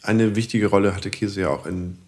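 A man's voice: lowish voice, steady tone, normal speed, darkish tone. A man chatting casually and humorously as a podcast co-presenter.

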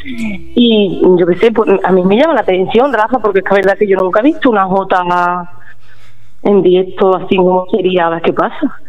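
A woman's voice: medium pitch, brisk. A woman, chatting in a familiar, casual way as a radio co-host. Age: 30s. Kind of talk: casual chat. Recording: dull radio sound, faint music.

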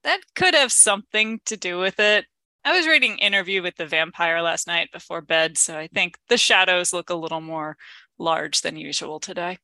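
Woman podcast co-host, bright voice, dry close sound, playful banter. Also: lowish voice, moderate pace, no room sound.

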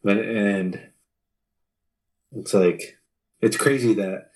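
Blunt man with a low, mellow voice. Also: drawn-out words. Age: 30s. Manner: blunt, frequent swearing, repeats phrases. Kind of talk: casual conversation.

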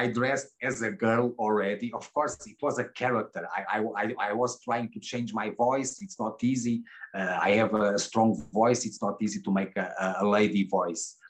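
Hesitant middle-aged man, stumbling and repeating. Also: fairly low pitch. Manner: hesitant, restarts and repeats words often. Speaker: webcam broadcaster, guest. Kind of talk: candid interview answers.

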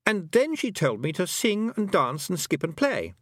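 A man voicing a haughty yet anxious twelve-year-old lost boy in a storybook, emphatic and excitable. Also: high voice, moderate pace, dry recording, studio quality, pitched above the performer's own voice, exaggerated voice. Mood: indignant.

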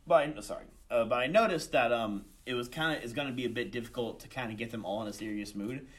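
A man's voice: medium pitch, quick pace. A young adult man talking casually as an interview guest, often restarting his phrases in a hesitant way. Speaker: student director and voice actor, interview guest.

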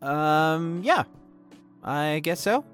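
A man's voice: a little high, animated, extremely slow, fairly bright tone, smooth, soft background music, full character voice. An actor playing an earnest, lovestruck young man in an audio drama, a little hesitant and awkward. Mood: hopeful, unsure. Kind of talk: naturalistic audio drama acting.

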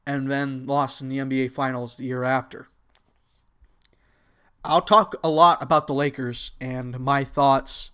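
A young man in his twenties talks in a loose, unscripted solo podcast style, with a Texan accent. He rambles and corrects himself as he goes, and his voice sits at an ordinary middle pitch for a man. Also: a bit bright. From United States. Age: 20s. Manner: rambling, self-correcting, casual. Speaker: podcast host.

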